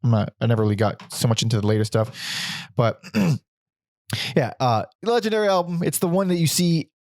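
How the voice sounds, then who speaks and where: A man's voice: mid-range voice, moderate pace, dry recording, clean studio sound. Rambling, swearing male podcast host. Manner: rambling, swears casually, laughs often. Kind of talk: casual music discussion.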